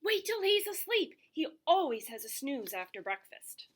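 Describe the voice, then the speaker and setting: A woman's voice: high voice, big pitch swings, normal speed, pitched above the performer's own voice. Woman acting a swooping, bossy ogre's wife. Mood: soothing, brisk. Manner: sing-song, swooping between scolding and coaxing. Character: ogre's great big wife, brisk, bossy, scolding, wheedling. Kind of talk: puppet show storytelling.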